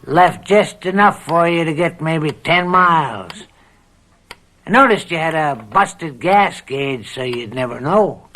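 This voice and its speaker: An actor playing a scheming, controlling older farm uncle with drawn-out, falsely kindly wheedling in an old radio mystery drama. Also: male voice, on the high side, lively intonation, pushed and bright, full character voice. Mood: smug.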